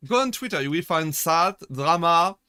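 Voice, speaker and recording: A man's voice: fairly high pitch, lively intonation, moderate pace, sharp tone, smooth, no room sound, studio quality. A young man from France with a French accent, chatting casually with his live-stream chat, laughing often and restarting his sentences as he jokes. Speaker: VTuber streamer.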